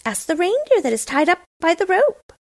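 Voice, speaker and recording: A woman reading a storybook, voicing a pair of chattering wood pigeons in a high, sing-song coo. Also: sing-song, moderate pace, no room sound, clean studio sound, full character voice. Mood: urgent. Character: cooing wood pigeons, small and fluttery.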